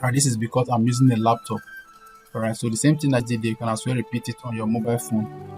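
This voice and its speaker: A woman presenting an informal screen-share software tutorial, repeating herself and often checking that listeners are following. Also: extremely low pitch, level tone, fast talker, music in the background.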